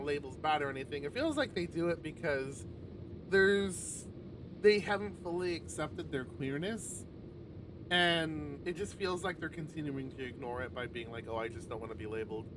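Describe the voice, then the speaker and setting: A man with a fairly high voice reads a visual novel aloud as a streamer, breaking into informal commentary. He swears freely and talks like he is among friends. There is clear noise behind his voice. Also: moderate pace. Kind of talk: casual livestream read-aloud with commentary. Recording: noisy livestream with clear background noise.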